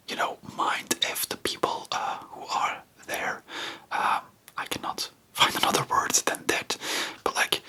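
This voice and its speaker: ASMR history host: breathy whisper with a very bright edge, informal and rambling delivery. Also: poor audio.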